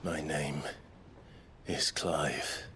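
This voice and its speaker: A man acting a brooding young warrior hero in a video game, weary but defiant, with breathy, halting, terse delivery. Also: a bass voice, steady tone, bright, forceful tone, a little hiss or hum, heavily acted. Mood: weary, dazed.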